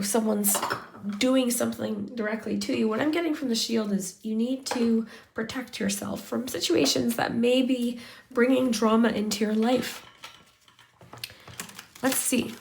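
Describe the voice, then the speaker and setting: A woman giving a chatty tarot reading straight to her viewers while losing her voice, sounding hoarse and sometimes sinking to a whisper. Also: a little high, slight background noise. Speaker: tarot reader. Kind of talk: pick-a-card tarot reading to camera.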